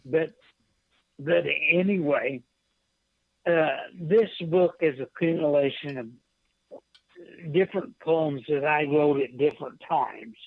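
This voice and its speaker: An American man in his seventies speaking as a radio guest in a halting, plainspoken way, on a dull, muffled line. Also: fairly high pitch, no room sound. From United States. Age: 70s. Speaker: guest, poet and veteran. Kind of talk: interview reminiscence.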